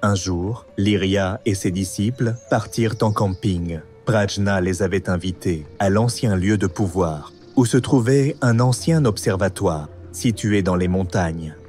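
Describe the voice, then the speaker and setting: A man's voice: deep voice, a little slow, hardly any pauses, darkish tone, faint music behind. A man reading scripted storybook narration for a cartoon.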